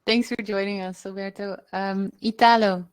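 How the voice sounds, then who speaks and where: A woman's voice: mid-range voice, steady tone, normal speed, smooth. A woman hosting an online panel, introducing guests in a casual, chatty way with small fillers and apologies. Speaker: host. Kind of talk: casual video call moderation. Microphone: video call.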